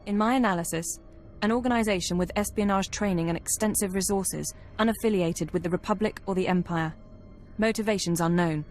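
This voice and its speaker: An actress plays an analytical intelligence officer in a sci-fi game. She has a medium-pitched voice and reports briskly and clinically, with exact detail. There is faint music in the background. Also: darkish tone, natural voice. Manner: precise, clinical, matter-of-fact. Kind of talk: video game cutscene acting.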